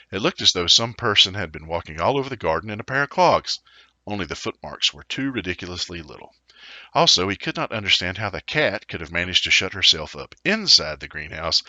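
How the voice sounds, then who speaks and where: A man reading a children's story aloud in an animated, theatrical way. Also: deep voice, no echo. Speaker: storybook reader. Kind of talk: expressive children's story narration.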